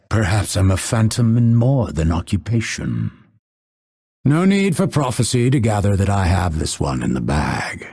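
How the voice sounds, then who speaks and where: A cold, proud video game assassin, speaks in a gravelly, husky male voice. The delivery is slow and theatrical, with clipped, disdainful phrases that swing widely in pitch. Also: darkish tone, heavily acted. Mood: grimly determined. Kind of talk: video game character voice lines.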